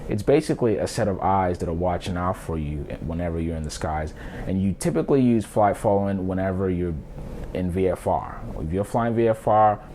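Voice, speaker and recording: A man with a low voice gives explanatory commentary in an informal, instructive way, talking straight to viewers. There is faint noise behind him. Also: animated, fairly quick, dark tone. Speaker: vlogger and pilot explaining air traffic audio.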